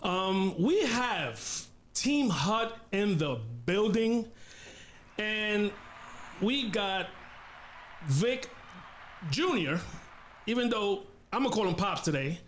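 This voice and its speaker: A man in his forties with a New York accent hosts a relaxed street-style interview. His voice sits high for a man and keeps jumping with excitement as he talks. He stumbles and restarts as his thoughts run ahead of him. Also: slow, pauses often, a little hiss or hum. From Bronx, New York. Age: 40s. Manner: animated, excitable, frequent restarts. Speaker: host. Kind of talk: casual interview.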